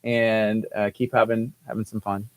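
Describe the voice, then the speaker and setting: A man's voice: fairly low pitch, little pitch movement, moderate pace, slight background noise. A man hosting a small radio show, talking in a laid-back, rambling way and laughing often. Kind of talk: casual radio chat.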